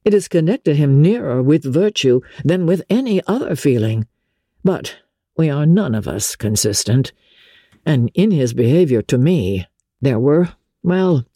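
A woman voicing an aggrieved young Regency gentleman in a dramatic audiobook reading, measured, confiding and mournful in manner. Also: extremely low pitch, big pitch swings, husky, dry recording, studio quality, full character voice. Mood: measured, reflective.

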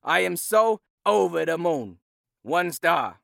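A man's voice: on the high side, big pitch swings, takes it slowly, creaky, noisy background, heavily acted. An actor playing a disgruntled, sarcastic male tourist complaining in a scripted comedy monologue. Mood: scornful. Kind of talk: comedic scripted monologue as a one-star reviewer.

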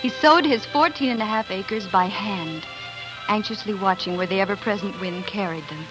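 A woman's voice: mid-range voice, moderate pace, over music, natural voice, poor audio. An actress playing a young homesteader wife and mother in a 1940s radio drama, narrating in an earnest, wistful, dramatic way. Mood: wistful, hopeful. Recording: 1940s radio broadcast recording.

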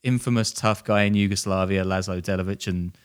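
Smooth-voiced joking male podcast host. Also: sits low, fairly even intonation, a little fast. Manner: jokey, self-deprecating, laughs while telling. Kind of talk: casual storytelling chat.

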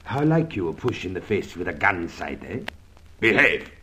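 An actor playing a suave, mock-courteous foreign villain in a radio drama, speaking formally and with polite menace. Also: male voice, low voice, very animated, moderate pace, sharp tone, slight background noise, heavily acted. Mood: commanding, mocking. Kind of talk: old-time radio drama acting.

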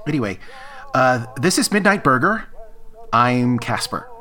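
A man's voice: medium pitch, big pitch swings, a little slow, bright, forceful tone, faint music behind. A man playing an evasive, flustered diner worker in a naturalistic audio drama, awkward and hesitant. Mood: distracted, evasive.

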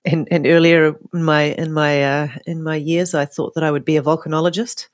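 A woman, a guest answering interview questions thoughtfully, with frequent pauses and restarts. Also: low-pitched, little pitch movement, dry recording, clean studio sound. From New Zealand. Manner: thoughtful, many restarts and fillers. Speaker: guest, author and poet. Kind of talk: hesitant conversational interview.

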